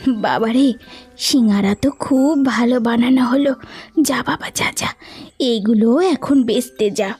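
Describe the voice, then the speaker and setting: A woman voicing a gentle, caring old village mother in a cartoon, speaking in an earnest, encouraging way. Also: fairly high pitch, a little slow, slightly rough, faint music behind. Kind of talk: cartoon dialogue.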